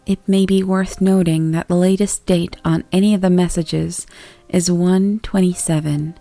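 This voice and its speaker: A woman with a fairly low voice narrates a creepypasta from a script. Her reading is measured and deliberate. Faint music plays behind her. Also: fairly even intonation, vocal fry, dark tone, no room sound. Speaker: horror story narrator.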